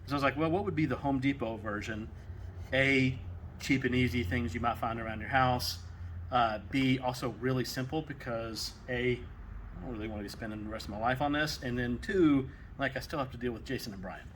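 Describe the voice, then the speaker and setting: A man's voice: medium pitch, a little fast, a bit bright, a little hiss or hum. A young man with a Texas accent chatting casually in a home workshop, joking and rambling as he explains a build. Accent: Texan. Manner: joking, rambling, self-deprecating. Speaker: maker building a weapon.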